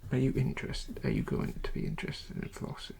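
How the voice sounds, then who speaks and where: A person doing an ASMR dentist roleplay in a soft, breathy, creaky whisper, giving calm and reassuring instructions to a patient. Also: brisk, a little hiss or hum.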